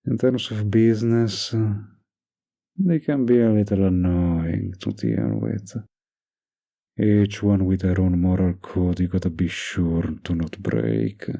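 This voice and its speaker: A man acts out a dominant mafia heir doting on his lover in an intimate audio roleplay. The voice is dark and mellow, full of gravelly fry and husky rasp. He murmurs in a teasing, possessive way. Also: low-pitched, lively intonation, takes it slowly, full character voice. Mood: musing, confident.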